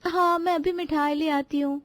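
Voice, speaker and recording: A woman's voice: sits high, steady tone, normal speed, no echo, close to an everyday voice. A woman voicing a chatty, teasing young daughter-in-law in a cartoon family drama. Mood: helpful. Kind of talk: animated family drama voice acting in Hindi.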